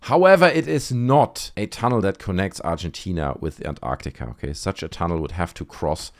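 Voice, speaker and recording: A man with a fairly low voice hosts an online video in a casual commentary style. His intonation swings widely and expressively. He talks conversationally, often checking in with short tag questions. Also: lively intonation, fairly quick. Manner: conversational, frequent tag questions like "okay" and "right". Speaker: YouTube host.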